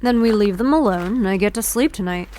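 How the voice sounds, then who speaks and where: A woman's voice: mid-range voice, a little fast, darkish tone, slight background noise, lightly acted. A woman playing a blunt, weary half-human park guardian in an audio drama. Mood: grave, urgent.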